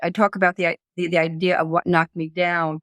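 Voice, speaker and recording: Older woman, halting and reflective, dry sound. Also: lowish voice, flat delivery, a bit bright, dry recording. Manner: halting, searching for words, repeats phrases. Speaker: interview guest, author and psychologist. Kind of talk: reflective personal conversation.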